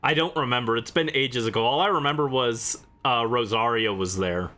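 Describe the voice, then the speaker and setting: Male livestreamer, average pitch, casual game commentary, playful, theatrical reactions. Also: moderate pace, bright, forceful tone.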